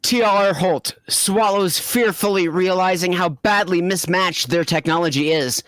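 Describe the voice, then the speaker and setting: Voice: mid-range voice, a little slow, a bit bright, no echo. A narrator reading fan fiction aloud, with casual asides to friends.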